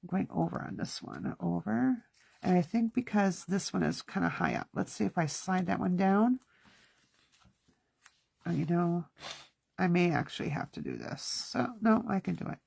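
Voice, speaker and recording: A woman's voice: on the low side, lively intonation, moderate pace, gravelly, darkish tone, breathy edge, dry recording. A woman hosting a casual hands-on card-making class, talking to herself as she works, her nose stuffy. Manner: chatty, self-talking while working. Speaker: craft class host. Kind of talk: casual hands-on craft demonstration.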